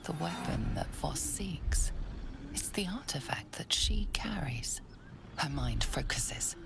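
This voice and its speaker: An actress playing a grave storyteller, narrating a dark fantasy game in a measured, intimate way. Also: female voice, low voice, big pitch swings, whispered, over music, exaggerated voice. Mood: grave, hushed. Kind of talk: second-person scripted game narration. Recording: clear background ambience behind voice. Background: background ambience.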